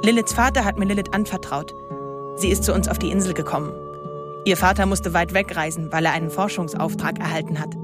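An actress playing a caring, worried aunt who narrates earnestly in a radio play. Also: female voice, lowish voice, brisk, natural voice. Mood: earnest, concerned. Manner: earnest, explanatory. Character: caring, worried aunt running a retirement home. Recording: loud guitar music under the voice.

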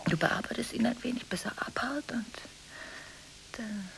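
An actress playing a volatile, emotionally contradictory married woman making frantic phone calls in a radio drama, swinging abruptly between hushed and agitated delivery. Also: fairly high pitch, moderate pace, creaky, slight background noise, poor audio. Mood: coaxing, secretive. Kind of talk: 1980s German radio drama monologue.

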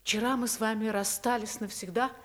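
A woman acting a young upper-class wife in a radio drama. Her voice sits fairly high, with careful stage diction. She speaks with secretive resolve and strong feeling. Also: fairly even intonation, brisk, a bit bright, natural voice. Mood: wry, bitter.

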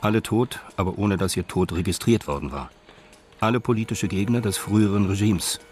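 An actor as the detached narrator of a radio crime drama, telling it in a measured, matter-of-fact way. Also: male voice, on the low side, a little mellow, a little hiss or hum, close to an everyday voice. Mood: detached narration. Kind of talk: scripted crime story narration.

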